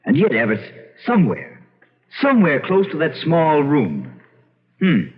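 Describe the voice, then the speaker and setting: An actor playing an inquisitive, determined gentleman investigating a haunted house, theatrical and excitable, with stammered repetitions, in old-time radio drama style. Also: a little high, unhurried. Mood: brooding, determined. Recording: dull old radio broadcast with loud music.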